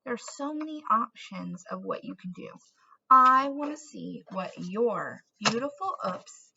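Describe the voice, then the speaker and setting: A woman's voice: fairly high pitch, lively intonation, measured pace, creaky, bright, forceful tone, husky, lo-fi sound. A woman presenting an instructional craft lesson for children as an art teacher, in an encouraging way with questions to young viewers.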